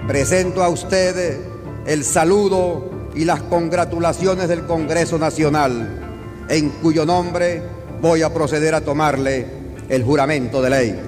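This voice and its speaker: A solemn congress president voiced by a man delivers a formal ceremonial address very slowly. His voice is a little high and rings in a large hall, with music over it. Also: steady tone, natural voice. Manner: solemn, measured.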